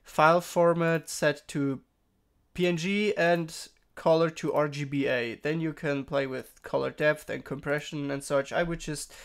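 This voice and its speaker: A young man with a slightly sick, gravelly voice rambles through a screen tutorial at an unhurried pace. The tone sits a little high yet stays smooth between the rough patches. He fills gaps with "uh" and fixes his own words as he goes. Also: fairly even intonation. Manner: rambling, many fillers and self-corrections. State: sick, throat-clearing voice. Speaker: tutorial streamer. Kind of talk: casual screen-recorded software tutorial. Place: home room.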